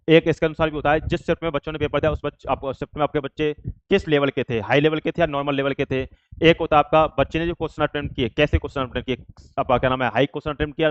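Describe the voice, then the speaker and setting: A man's voice: on the high side, smooth, dry recording, lo-fi sound. A man teaching exam students in an informal way, explaining step by step with repeated examples.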